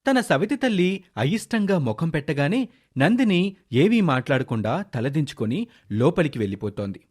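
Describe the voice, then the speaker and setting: A man's voice: a little high, big pitch swings, normal speed, a little mellow, breathy edge. A man narrating a scripted moral story as its storyteller.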